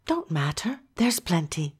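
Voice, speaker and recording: A woman playing a kindly, hospitable country mother in an amateur audio drama, gentle and placating, with a husky voice. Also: lowish voice, big pitch swings, a little slow, full character voice. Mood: cheerfully hospitable.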